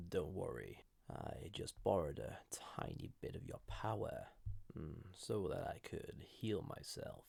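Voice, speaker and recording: A man playing a flirty, dangerous ancient villain entity in an intimate audio roleplay, with a gravelly, husky voice and deliberate delivery. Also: low voice, animated, a little mellow, no echo, heavily acted. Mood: reassuring. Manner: slow, deliberate, intimate, with pauses. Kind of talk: intimate scripted audio roleplay addressed to listener.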